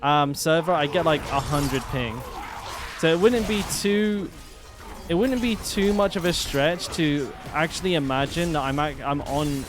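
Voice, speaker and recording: A man streaming a game, chatting casually and rambling with lots of fillers. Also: on the high side. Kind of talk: casual gameplay commentary. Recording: game music behind voice. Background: game music.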